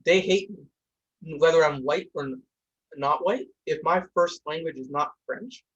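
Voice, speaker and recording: A man's voice: a little high, husky, highs cut off. A young man hosting a podcast from a room at home, talking heatedly with many restarts. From India. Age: young adult. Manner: heated, talks fast with restarts. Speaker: podcast host. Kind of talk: casual discussion.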